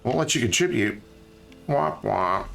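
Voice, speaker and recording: A man's voice: medium pitch, animated, unhurried, creaky, a bit bright, soft background music. A tired man with a slightly scratchy throat, streaming a game and thinking aloud in casual chat with many fillers.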